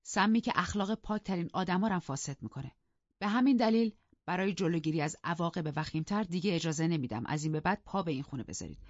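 A woman voicing a haughty, refined gentleman husband who speaks with formal, stern indignation. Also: on the low side, no echo, muffled recording.

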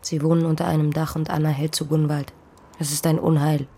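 Young farm maid, lowish husky voice, fearful, stubborn, earnest, radio drama. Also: female voice, little pitch movement, brisk, dark tone. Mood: troubled, guarded. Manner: earnest, troubled, formal stage diction. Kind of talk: German radio play acting.

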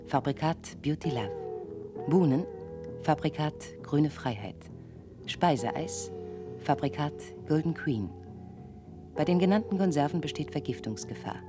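An actress playing an impassive news announcer, reading bulletins in a formal, measured way in a radio drama. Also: female voice, extremely low pitch.

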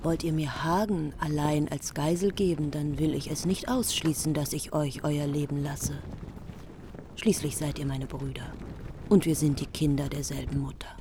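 An actress playing a cold, bitter, vengeful queen in a German radio drama, speaking in a measured, commanding way. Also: low voice, fairly even intonation, background noise. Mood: cold, calculating.

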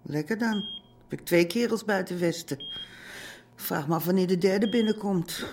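A woman's voice: medium pitch, normal speed, darkish tone, slight background noise, close to an everyday voice. A woman playing the blunt, worried wife of a crime boss in a radio drama. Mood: worried, dry.

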